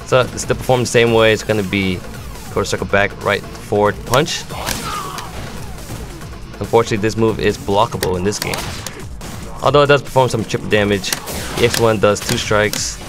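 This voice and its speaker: A man's voice: lowish voice, normal speed, long pauses. A man giving step-by-step tutorial commentary on a fighting game, explaining as a gamer presenting a demo. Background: music.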